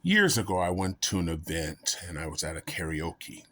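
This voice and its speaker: A man's voice: low voice, sing-song, normal speed, fairly bright tone, slight background noise. A man vlogging in a casual, comedic storytelling style, rambling and folksy, often making fun of himself. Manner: rambling, self-mocking, folksy.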